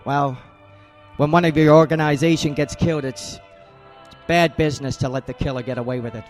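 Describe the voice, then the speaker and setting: A man's voice: fairly high pitch, steady tone, a little fast, soft background music, lightly acted. An actor playing a cold, hard-boiled male private eye in a radio drama, blunt and clipped. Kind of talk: 1940s-style radio drama acting.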